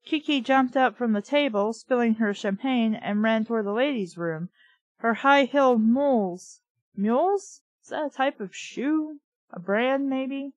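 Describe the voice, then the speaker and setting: A woman's voice: fairly high pitch, no echo, highs cut off. A woman reading a book aloud and breaking in with blunt, sarcastic, profane commentary, arguing back at the text. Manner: blunt, sweary, mocking; opinionated, argues back at the text.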